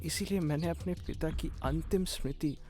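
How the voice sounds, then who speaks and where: Man voicing an earnest, high-voiced young village man. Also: moderate pace, a little mellow, soft background music, lightly acted. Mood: earnest, puzzled. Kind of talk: Hindi cartoon moral-story voice acting.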